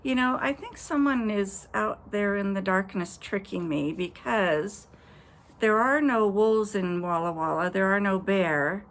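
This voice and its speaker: A woman pastor telling children a story and a short devotional outdoors at night, speaking gently and directly to the kids. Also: medium pitch, measured pace, rough recording.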